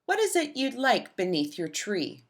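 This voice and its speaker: A woman's voice: mid-range voice, wildly swooping pitch, a little slow. A woman voicing a friendly man in a Santa suit from a children's storybook, explaining things amiably.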